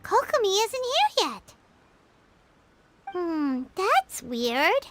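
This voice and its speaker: A woman voicing a small, excitable childlike fairy companion in an anime game, squeaky and bubbly. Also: drawn-out words, full character voice. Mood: puzzled. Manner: bubbly, expressive. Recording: loud music behind the voice.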